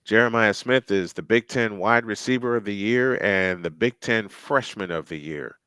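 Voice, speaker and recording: A man calls a football game live with a bright, crisp voice. He chats and thinks aloud between plays. The sound is dry and close to the mic. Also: on the low side, moderate pace, no room sound. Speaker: play-by-play commentator.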